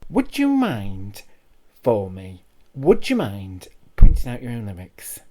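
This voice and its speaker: A man's voice: fairly low pitch, huge pitch swings, takes it slowly, dark tone, full character voice. A man voicing a passive-aggressive woman who runs a choir, clipped and pointedly polite, in a comedy monologue. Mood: passive-aggressive politeness.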